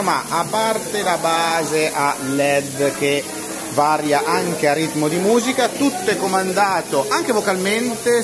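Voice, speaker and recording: A man's voice: on the high side, rough recording. A man, chatting casually and animatedly to camera as a tech vlogger, recorded on a handheld phone. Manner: animated, joking, conversational. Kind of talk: casual chat to camera while demonstrating products. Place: large, busy trade fair hall. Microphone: handheld phone at arm's length. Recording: busy fair crowd noise behind.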